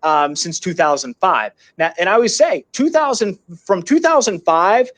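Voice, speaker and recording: A man's voice: high-pitched, very animated, normal speed, a bit bright. A man with an American accent hosting an interview in a chatty, informal, conversational way. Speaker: interview host.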